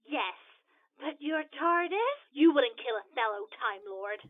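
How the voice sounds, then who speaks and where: A woman's voice: high-pitched, lively intonation, measured pace, narrow, tinny audio. A woman playing a haughty, scheming alien scientist villain in an audio drama, with an imperious, scornful, theatrical delivery. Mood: defiant, disbelieving.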